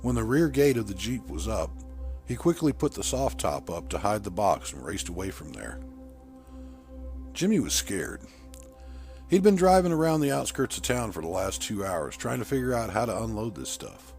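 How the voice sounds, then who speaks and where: Male story narrator, fairly deep, animated pitch movement, light music bed. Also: moderate pace. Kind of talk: scripted audiobook narration. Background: background music.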